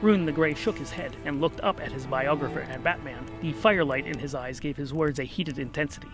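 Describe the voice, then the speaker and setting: A man's voice: fairly high pitch, a little fast, over music. A man narrating a comic fantasy audiobook in a wry, mock-epic storytelling style.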